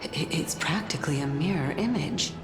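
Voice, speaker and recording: A woman playing a composed, enigmatic game character who speaks in a measured, thoughtful, gently wry manner. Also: low voice, natural voice. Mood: wry curiosity. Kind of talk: dramatic game dialogue. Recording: echoey space under loud music.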